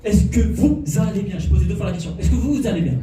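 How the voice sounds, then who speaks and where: A man's voice: high-pitched, expressive, fast talker, over loud music. A man doing a stand-up routine on a club stage, teasing the crowd and working the audience. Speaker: stand-up comedian. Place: comedy club stage before a live audience. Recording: echoey room, from far off.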